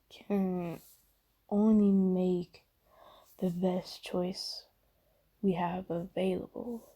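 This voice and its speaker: A woman voicing a gentle, caring demon in a soft ASMR roleplay, hushed, breathy and soothing, often slipping into whispers. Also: medium pitch. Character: gentle, caring female sleep paralysis demon comforting someone.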